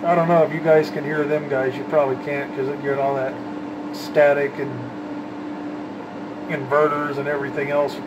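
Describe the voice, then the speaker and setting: Man, fairly high voice, folksy slangy CB radio chat, clear background noise. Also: little pitch movement, moderate pace, dull audio. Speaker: CB radio operator, channel host.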